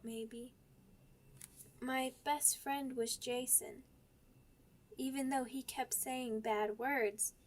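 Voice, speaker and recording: Little werewolf pup for asmr roleplay, really high voice, slow n stammery, sweet and shy. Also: female voice. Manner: childlike, halting, stammering, simple words. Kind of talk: ASMR roleplay audio drama.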